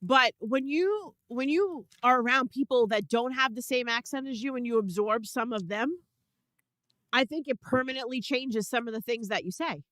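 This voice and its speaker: A woman with a Brooklyn accent talks casually on an internet radio show, her voice sitting a little high. She restarts sentences and leans on fillers as she chats. The sound is dry and close. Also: normal speed, a bit bright, dry recording, low-quality recording. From Brooklyn, New York. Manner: chatty, with frequent restarts and fillers. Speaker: co-host.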